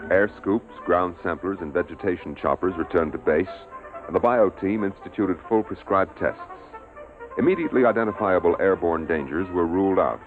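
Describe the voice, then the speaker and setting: A man acting as a solemn narrator in a 1950s radio drama, giving a measured, formal, official account of a space survey. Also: sits low, natural voice. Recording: muffled old radio recording. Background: music under the voice.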